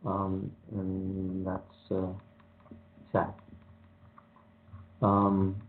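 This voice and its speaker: A man with a somewhat low voice talks calmly and evenly on a radio show, drifting between points with plenty of ums. His voice comes through a narrow, phone-like line. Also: very slow. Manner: calm, even, rambling with many ums. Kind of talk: casual talk on a radio show.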